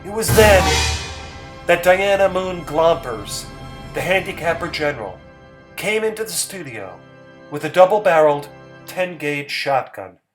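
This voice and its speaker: Slow, theatrical male story narrator. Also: fairly high pitch, lively intonation. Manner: theatrical, measured, emphatic. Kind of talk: dramatic scripted story reading. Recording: music bed under the voice.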